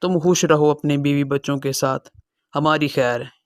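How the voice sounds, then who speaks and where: A man playing a gentle, sorrowful elderly father in a dramatized cartoon moral story. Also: fairly high pitch, fairly even intonation, normal speed, no room sound, studio quality, natural voice. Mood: resigned, hurt. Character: sorrowful, self-sacrificing elderly father, gentle with his children.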